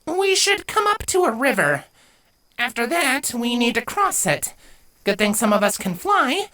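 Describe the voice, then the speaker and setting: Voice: mid-range voice, sing-song, a little slow, pushed and bright, pitched above the performer's own voice. A storyteller voicing a bold, bookish young female changeling filly in a pony fantasy audiobook. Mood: nervous, instructing.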